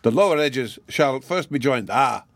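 An old German gentleman played by a male reader from a storybook, with a German accent. He is courteous, musing and exacting as he instructs, in a dry, close recording. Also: medium pitch, lively intonation, normal speed, dry recording, close to an everyday voice. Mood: instructive, insistent. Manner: courteous, particular, exclamatory.

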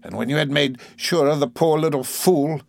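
Fierce elderly aunt, put on by a male reader, blunt, indignant, raised pitch. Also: normal speed, gravelly. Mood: scolding. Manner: indignant and scolding.

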